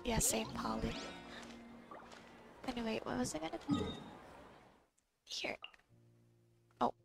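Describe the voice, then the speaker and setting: A woman streams a game with casual commentary in a fairly high, creaky voice. She mutters asides and gets excitable, while loud game music plays behind her. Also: takes it slowly. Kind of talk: casual gameplay commentary, reading dialogue choices aloud.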